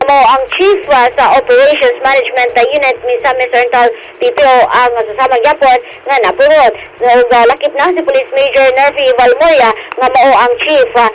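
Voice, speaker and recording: A woman with a Filipino accent giving a radio field report, halting with frequent hesitations and repeats. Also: high voice, fairly even intonation. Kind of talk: news report, partly improvised. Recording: noisy remote radio report feed.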